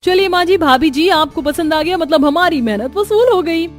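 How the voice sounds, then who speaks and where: A woman's voice: very high-pitched, lively intonation, normal speed, soft background music. A woman voicing a caring, outspoken young fashion designer in a family cartoon. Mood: bright. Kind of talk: Hindi cartoon voice acting.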